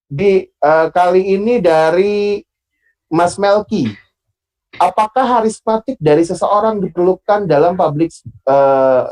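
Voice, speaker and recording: A man with a Sundanese accent hosting an online public speaking class, relaxed and pausing often with fillers. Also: on the high side, low-quality recording. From Bandung, Indonesia. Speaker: host and moderator.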